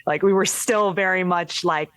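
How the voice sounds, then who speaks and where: Animated young woman, low voice, dry. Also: moderate pace, dry recording. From Philippines. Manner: animated, laughing, many fillers and restarts. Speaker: filmmaker guest. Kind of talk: casual interview chat.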